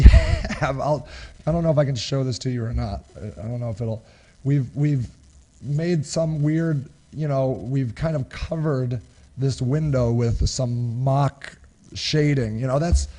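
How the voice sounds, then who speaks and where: A middle-aged man with a raspy, husky voice from a cold, hosting a live webinar in casual, rambling chat with many restarts. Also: mid-range voice, mellow. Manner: rambling, many restarts and chuckles, gestures with hands. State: recovering from a cold. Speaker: webinar host. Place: small home office, indoors. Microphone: studio microphone close in front.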